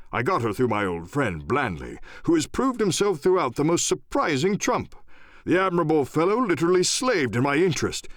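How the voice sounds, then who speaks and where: Man acting a pompous, excitable country squire, swooping pitch. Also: no echo, studio quality. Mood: proud, excited. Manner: effusive, exclamatory, pompous.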